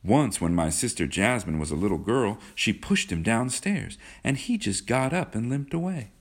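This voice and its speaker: A man acts out a naive rich teenage girl in a storybook. The delivery is gushing and earnest, proud yet coy. The pitch is lifted well up and moves about in lively swings. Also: normal speed, husky. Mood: proud, chatty. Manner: gushing, ingenuous.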